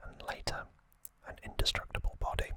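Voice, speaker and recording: A man giving an ASMR recap in a breathy, unvoiced whisper, speaking softly in short, deliberate phrases. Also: no echo. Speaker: ASMR channel host.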